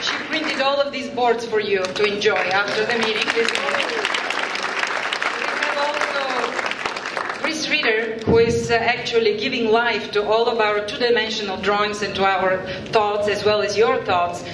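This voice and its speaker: Bulgarian-accented woman in an echoey hall. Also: a little high. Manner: halting, many filler pauses, warm thanks. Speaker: urban planner presenting a workshop. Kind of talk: public presentation to a community meeting. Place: large event room with audience. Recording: echoey hall from far off, crowd murmur, background noise.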